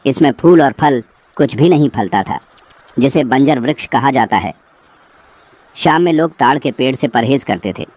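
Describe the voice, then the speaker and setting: A man's voice: mid-range voice, expressive, normal speed, long pauses, darkish tone. A man reading a scripted horror story as narrator.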